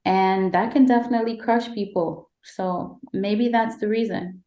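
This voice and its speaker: A woman from Guyana answering as a podcast guest in a measured, explanatory, conversational way. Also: medium pitch, fairly even intonation, warm tone. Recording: echoey room.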